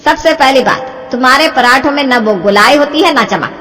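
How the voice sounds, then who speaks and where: A woman voicing a sharp-tongued older mother-in-law who nags and taunts sarcastically in a moral-story cartoon. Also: sits high, lively intonation, normal speed, faint music behind. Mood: mocking. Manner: sarcastic, nagging. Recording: long hall-like reverb.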